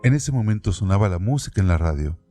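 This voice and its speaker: A man narrating a novel aloud in a calm, deliberate scripted reading. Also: fairly low pitch, faint music behind.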